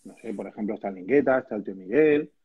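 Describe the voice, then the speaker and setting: A slightly husky man from banters on a home live stream. He teases his friend with mock-serious jabs and reads comments aloud. The audio is lo-fi and somewhat dull, as if through a phone or laptop. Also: on the low side, fast talker. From Madrid, Spain. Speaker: percussionist, live stream co-host. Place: indoors, small room at home. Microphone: phone or laptop camera, video call.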